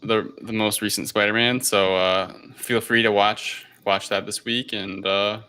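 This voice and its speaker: A man's voice: fairly low pitch, level tone, unhurried, bright, forceful tone, smooth. A man co-hosting a podcast, speaking in an informal conversational way. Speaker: podcast co-host. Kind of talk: casual chat.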